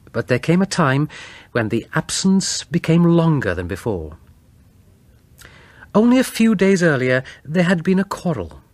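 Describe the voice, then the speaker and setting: A man narrating a Gothic tale as an audiobook, theatrical and deliberate in his phrasing. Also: fairly high pitch, dry recording.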